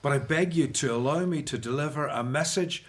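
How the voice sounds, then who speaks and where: Actor as an earnest, higher-voiced Hebrew prophet. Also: male voice, a little fast, natural voice. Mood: solemn, pleading. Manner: solemn, earnest, declamatory. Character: Moses, earnest, devout Hebrew prophet and leader. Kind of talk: radio Bible drama acting.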